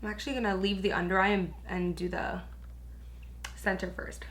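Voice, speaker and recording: A young woman chatting through a makeup tutorial with a raspy, hoarse voice, gushing and peppering her talk with filler words. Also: mid-range voice, little pitch movement, moderate pace. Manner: gushing, chatty, lots of "like" and "you guys". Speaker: beauty vlogger. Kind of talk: casual makeup tutorial chat.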